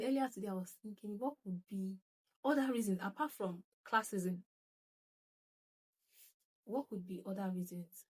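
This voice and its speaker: A young Nigerian woman with a Nigerian accent hosts her own channel and talks straight to her viewers. She reads comments aloud and thinks them through in a chatty, reflective way, often restarting her sentences and breaking into laughter. Her voice sits at an ordinary pitch for a woman. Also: a little mellow, poor audio. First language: Yoruba. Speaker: channel host. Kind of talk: casual talk to camera, reading comments.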